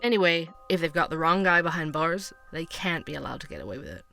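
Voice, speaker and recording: A man's voice: a little high, big pitch swings, fast, creaky, sharp tone, faint music behind, heavily acted. A man playing an earnest podcast investigator. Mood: determined. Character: young male podcast host, dogged true-crime investigator. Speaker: podcast host. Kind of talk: fiction podcast drama.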